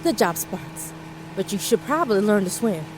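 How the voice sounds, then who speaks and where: An actress playing a commanding, wry 1940s spy who narrates an audio drama with confident, dramatic delivery. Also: female voice, medium pitch, lively intonation, moderate pace, background noise, natural voice. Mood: brisk, commanding. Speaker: narrator and lead character. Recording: music under the voice.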